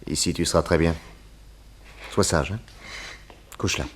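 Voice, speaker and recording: An actor playing a calm, methodical male detective in a radio serial, reassuring and measured as he explains. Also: low-pitched, a little hiss or hum. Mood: soothing.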